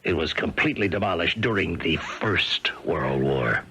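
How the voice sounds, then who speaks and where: An American actor playing a calm, firm, sceptical army captain in a 1970s radio drama. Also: male voice, low voice, moderate pace, breathy edge, full character voice. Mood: grave, firm. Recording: vintage radio broadcast with music.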